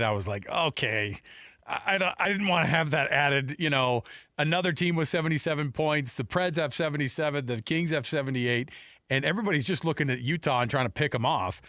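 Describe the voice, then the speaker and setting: A man's voice: mid-range voice, a bit bright, no echo, studio quality. A man hosting a sports radio show, talking casually and putting questions to a guest.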